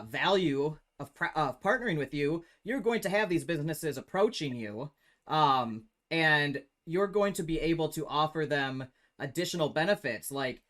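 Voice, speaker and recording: A man's voice: a little high, a bit bright. Upbeat male interview host. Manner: upbeat, encouraging, drawn-out questions. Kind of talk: casual online interview.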